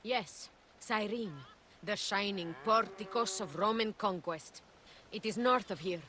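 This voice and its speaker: An actress playing a compassionate, resolute Egyptian healer in a video game, speaking earnestly and formally. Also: female voice, mid-range voice, a little slow, pushed and bright, slight background noise. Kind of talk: dramatic video game acting.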